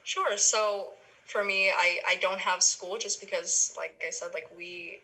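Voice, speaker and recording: A young woman from the Philippines answers interview questions with a bright, sharp tone. Her voice is a little husky and breathy. She talks casually, with lots of ums and restarts. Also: lowish voice, fairly even intonation, unhurried, low-quality recording. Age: young adult. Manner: chatty, many ums and restarts. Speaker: interviewee, university student.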